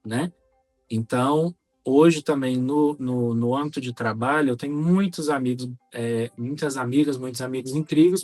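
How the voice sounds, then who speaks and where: A man with a Brazilian accent talking reflectively as a podcast co-host, measured and articulate, feeling for his words. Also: medium pitch, no echo. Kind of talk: reflective conversational podcast talk.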